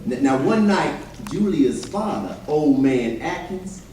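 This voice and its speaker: A man plays an old-time American storyteller spinning folksy tales for the stage. His voice is high and animated, heard from a distance in a very reverberant chapel with faint noise. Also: slow pace. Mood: storytelling. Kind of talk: readers theater monologue.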